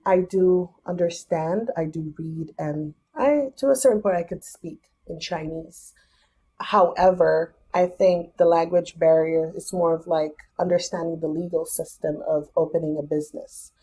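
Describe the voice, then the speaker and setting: A woman's voice: fairly low pitch, fairly even intonation, measured pace, mellow, breathy edge, low-quality recording. A young adult woman and business founder, a podcast guest, explaining things step by step in a thoughtful, casual way. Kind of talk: casual interview.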